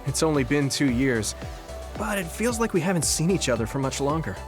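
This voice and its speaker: A man's voice: fairly high pitch, very animated, a little fast, music in the background. An actor voicing an earnest, kind young male soldier in an anime game, sincere and a little hesitant. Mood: friendly, sincere. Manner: sincere, hesitant, easily flustered.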